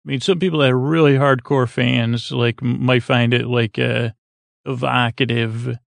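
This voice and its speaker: A man with a creaky voice hosting a bedtime podcast, talking in a calm, gentle, meandering way with halting self-interruptions. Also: mid-range voice, no echo. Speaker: podcast host. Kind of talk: rambling, soothing bedtime podcast monologue.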